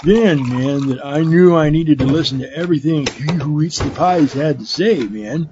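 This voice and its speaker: A man's voice: on the high side, normal speed, dull audio, noisy background, lightly acted. A man playing a goofy stoner man-child in a comedic audio drama, rambling like a spacey slacker. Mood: dazed devotion.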